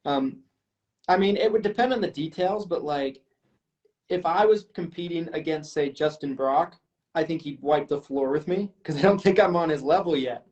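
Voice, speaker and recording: A man talking casually, thinking out loud with ums and a modest, self-deprecating manner. His voice sits a bit higher than most men's. The audio is slightly dull and lo-fi. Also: moderate pace. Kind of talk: casual chat.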